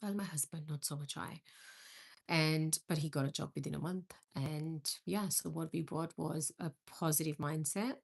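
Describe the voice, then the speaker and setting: A woman's voice: low-pitched, steady tone, normal speed, vocal fry. A middle-aged woman from India hosting a live stream, chatting with viewers and answering their comments. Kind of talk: casual live-stream chat.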